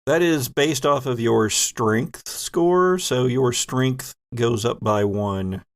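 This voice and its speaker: A man acts as game master for a tabletop role-playing game. His voice sits at a medium pitch, and he narrates vividly, moving between scene-setting and rules. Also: takes it slowly.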